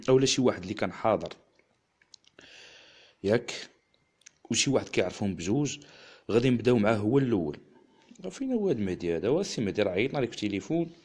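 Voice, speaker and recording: A man with a Moroccan accent hosting a live stream, chatting quickly with viewers and a guest. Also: fairly low pitch, very animated, a little mellow, breathy edge. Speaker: livestream host. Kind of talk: casual live chat and storytelling.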